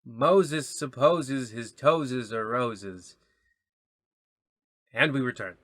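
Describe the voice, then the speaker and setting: Man as an arch, bright-toned old-radio announcer. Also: mid-range voice, extremely slow, dry recording, studio quality. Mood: arch, suspenseful. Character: arch, teasing old-radio announcer narrating to dear listeners.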